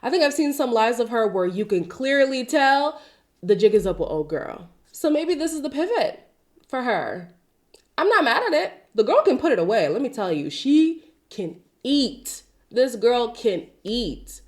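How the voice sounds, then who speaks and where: A woman's voice: a little high, unhurried. Chatty Zimbabwean woman, voice sick. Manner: chatty and expressive, with side tangents. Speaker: commentary channel host. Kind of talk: casual pop-culture commentary.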